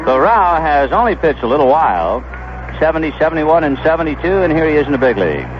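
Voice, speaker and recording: American radio baseball announcer, animated male pitch. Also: fast. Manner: conversational, descriptive storytelling between pitches. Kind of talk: play-by-play commentary. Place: ballpark broadcast booth. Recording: dull, noisy vintage 1970s radio broadcast.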